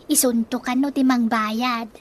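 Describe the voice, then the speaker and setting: A woman's voice: a little high, fairly even intonation, a little slow, noisy background, natural voice. A woman acting a brash, scheming mother who wheedles and teases in an Ilocano-accented Filipino comedy radio drama. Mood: coaxing, gleeful. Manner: wheedling, teasing. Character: brash scheming mother sweet-talking her creditors.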